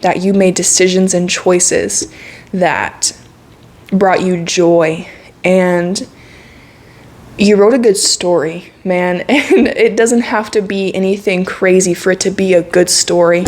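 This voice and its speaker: A woman's voice: on the low side, unhurried, a little mellow. A woman in her twenties talking to camera in a casual, reflective monologue, candid and earnest, with slang and frequent laughs. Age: 20s. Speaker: vlogger.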